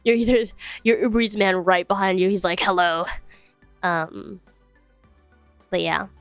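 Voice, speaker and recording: A woman's voice: mid-range voice, steady tone, measured pace, dry recording. A young woman streamer, a little congested, chatting casually with viewers from home and drifting between topics. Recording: dry close mic, faint music behind.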